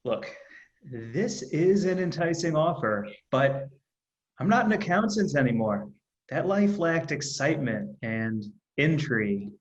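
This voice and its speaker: A man playing a slow-talking nerdy magician. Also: fairly high pitch, animated, muffled recording. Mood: defensive. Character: nerdy, awkward amateur magician, former accountant. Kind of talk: virtual screenplay table read.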